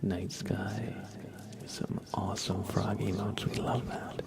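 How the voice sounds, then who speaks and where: A man's voice: lowish voice, little pitch movement, takes it slowly, creaky. A man hosting a casual ASMR livestream in whispers, musing aloud as he chats. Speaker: livestream host.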